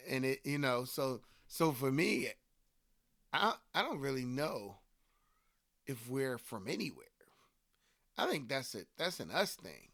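A man with a Delco Philadelphia accent talks loosely in a casual book-club chat. He tells animated stories full of fillers and the odd swear word, and his voice sits in an ordinary middle register. The sound is dry and close, with no room around it. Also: medium pitch, a little slow, long pauses, dry recording. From Philadelphia area. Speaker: podcast co-host.

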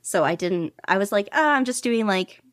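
A woman's voice: mid-range voice, no room sound, studio quality. A woman chatting casually as an interview guest, rambling along in a self-deprecating way. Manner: animated self-deprecating rambling, quick to laugh at herself. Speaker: podfic narrator being interviewed.

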